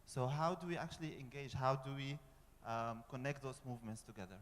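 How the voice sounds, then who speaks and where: A man's voice: medium pitch, brisk. A young man with an Egyptian accent earnestly putting a question from the audience at a public forum, restarting phrases as he goes. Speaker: audience member asking a question. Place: large conference hall. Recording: reverberant conference hall.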